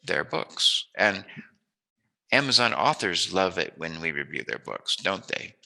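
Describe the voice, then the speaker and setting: A man with a fairly low, creaky voice and a very sharp, forceful edge hosts a casual chat. He muses and rambles playfully as he talks with his guest. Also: animated, measured pace. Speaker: interview host.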